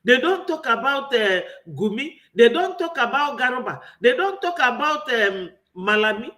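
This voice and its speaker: A man's voice: very high-pitched, very animated, moderate pace, sharp tone. A man with a Nigerian accent giving an impassioned political commentary, full of rhetorical questions. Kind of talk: online political commentary monologue.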